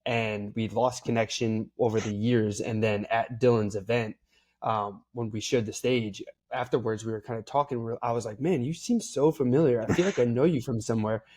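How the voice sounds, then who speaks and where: A man's voice: medium pitch, steady tone, moderate pace, darkish tone. A man hosting a podcast, chatting casually and asking his guest open questions. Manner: friendly, uses fillers, asks open questions. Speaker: podcast host. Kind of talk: casual interview chat.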